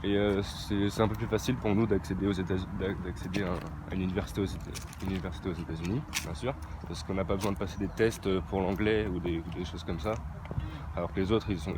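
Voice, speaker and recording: A man's voice: low-pitched, fairly even intonation, brisk. A teenage boy who plays baseball, answering interview questions hesitantly with many fillers and restarts, outdoors at a training ground. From France. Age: teens. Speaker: young baseball player interviewed. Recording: outdoor traffic noise. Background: traffic and ambient noise.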